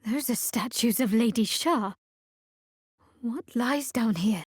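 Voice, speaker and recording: An actress playing a guarded, sardonic young female cleric of a dark goddess in a video game, speaking with dry, wry, clipped sarcasm. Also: on the high side, slow pace, slightly rough, dry recording. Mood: guarded, matter-of-fact. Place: dry studio recording.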